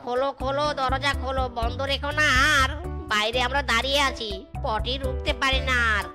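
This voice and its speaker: A woman's voice: sits high, fairly even intonation, fairly quick, music in the background, close to an everyday voice. A woman voicing a timid, hesitant youngest fox brother who pleads in a comic cartoon. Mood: desperate.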